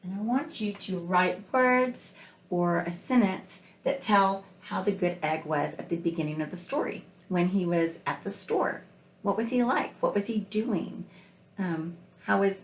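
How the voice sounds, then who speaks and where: Lady kindergarten teacher, lowish voice, explains stuff simply n patiently to little kids. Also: echoey room, rough recording. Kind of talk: read-aloud lesson for young children, asking questions.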